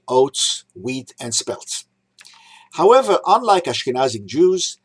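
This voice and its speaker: A man in his sixties who grew up in Egypt, giving a prepared holiday talk and recalling customs, listing items one at a time. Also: medium pitch. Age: 60s. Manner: measured, reminiscing, lists items one by one. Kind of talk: prepared talk read aloud.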